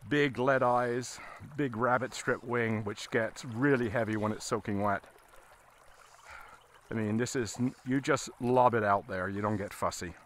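A man giving a casual, halting fly fishing tutorial as an instructor, talking while he works outdoors. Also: medium pitch, steady tone. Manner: halting, explaining as he casts. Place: outdoors, standing in a river. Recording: outdoor recording with water noise. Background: running water.

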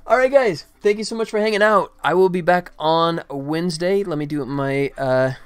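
A man's voice: on the high side, moderate pace, clean tone. Rambling middle-aged man, casual livestreamer. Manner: rambling, self-deprecating, jokey. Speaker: indie game developer livestreamer. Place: indoor room at a computer. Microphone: desktop microphone.

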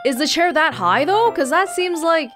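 A woman hosting a let's play, reading a visual novel aloud with playful, expressive casual commentary. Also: sits high, normal speed, over music.

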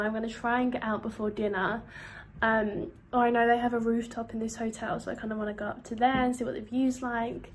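A woman's voice: on the high side, darkish tone, slight background noise. A woman in her twenties chatting casually to her phone camera in a hotel room, upbeat and full of fillers. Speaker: vlogger. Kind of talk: casual vlog chat to camera. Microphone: phone camera held close.

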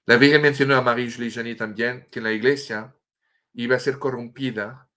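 A man's voice: mid-range voice, fairly even intonation, brisk, sharp tone. A middle-aged French man speaking Spanish with a French accent, a guest author telling a story earnestly on a video call from home, often restarting words as he searches for the Spanish term. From France. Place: home room with a bookshelf and statue. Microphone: webcam on a video call.

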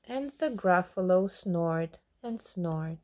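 A young woman reading a rhyming children's picture book aloud, expressively and with dramatic pauses. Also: lowish voice, lively intonation, warm tone. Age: young adult. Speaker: children's storyteller. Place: small indoor room in front of curtains.

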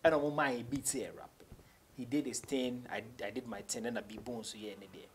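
A man's voice: medium pitch, steady tone, a little fast, slight background noise. A man in his thirties with a Ghanaian accent, telling stories casually as an interview guest, switching between English and Twi. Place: small indoor studio.

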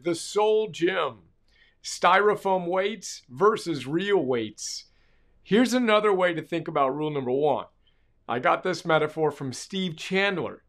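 A middle-aged man hosting his own show, reading a short excerpt from his book and then promoting it with upbeat energy. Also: high-pitched. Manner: upbeat, energetic, gesturing while talking. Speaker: author and host. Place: dark studio with portrait wall.

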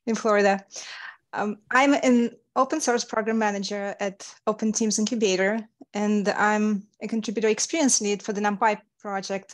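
A woman from Ukraine introduces herself on a video call, her voice mid-pitched and even. She speaks in a friendly, businesslike way. The sound comes through a headset mic. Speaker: open source program manager.

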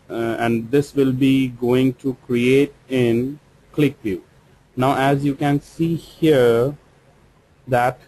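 A man with an Indian English accent talks over a screen recording, guiding the listener through each click in a software lesson. He speaks at an unhurried, deliberate pace in a middle-pitched voice, pausing with small fillers as he explains. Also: a little hiss or hum, rough recording. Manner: slow, step-by-step explaining with fillers. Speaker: software trainer.

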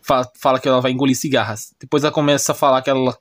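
A man's voice: mid-range voice, level tone, racing. A man with a Brazilian accent giving a casual, unscripted explanation as a YouTuber, repeating himself and often checking that the listener follows. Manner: repetitive, often checks listener understanding with short tag questions. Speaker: YouTuber recapping anime and manga.

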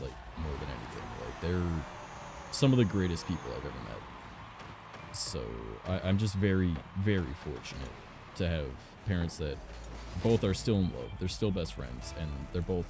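A young man from Canada with a raspy voice, chatting casually and rambling as a streamer, filling his talk with "like" and "um". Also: low-pitched. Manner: rambling, frequent "like" and "um". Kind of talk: casual chat while gaming. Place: home recording studio.

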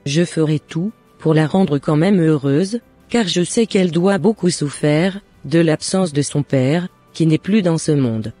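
A woman as a long-suffering mother, mellow and dry. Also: low voice, a little slow, no room sound, faint music behind. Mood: hurt, sorrowful. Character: long-suffering, devout, hard-working shopkeeper mother.

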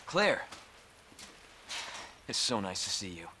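Earnest young American cop, heavy noise behind. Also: male voice, on the low side, big pitch swings, takes it slowly. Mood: gentle, reassuring. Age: young adult. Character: earnest, protective young male police officer. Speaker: video game voice actor. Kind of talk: cinematic game cutscene acting. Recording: loud rain and vehicle noise behind.

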